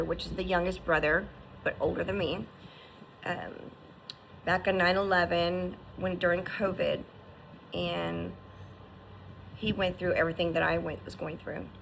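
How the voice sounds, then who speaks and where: A woman's voice: lowish voice, unhurried, creaky, fairly bright tone, a little hiss or hum, poor audio. A woman in her fifties giving an earnest, encouraging personal testimony, often restarting and asking "right?". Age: 50s. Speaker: survivor sharing her story.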